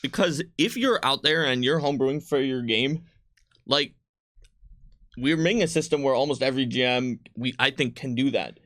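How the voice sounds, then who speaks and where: A man's voice: mid-range voice, normal speed, fairly bright tone, no echo. Man chatting casually in a dry room. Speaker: game designer and stream co-host. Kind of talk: casual livestream chat about game design.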